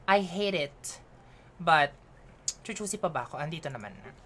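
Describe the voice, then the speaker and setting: A woman with a Filipino accent chatting casually as she streams a game, mixing Tagalog and English and thinking out loud. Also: low-pitched, lively intonation, normal speed, slight background noise. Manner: chatty, switching between Tagalog and English mid-sentence; giggly, thinks aloud while playing.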